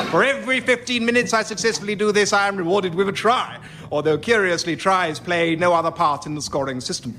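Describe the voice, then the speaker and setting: An actor playing a pompous, verbose British club bore, telling a mock-Victorian ghost story in radio comedy. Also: male voice, sits high. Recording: noisy live audience recording.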